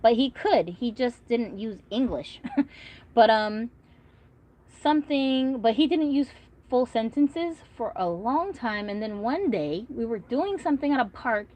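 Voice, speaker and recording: A woman's voice: on the high side, animated, a little slow, darkish tone. A woman in her thirties whose first language is Korean, hosting a casual livestream in a chatty, rambling way and reading out viewer comments. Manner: chatty, rambling, frequent fillers. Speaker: doll collector vlogger.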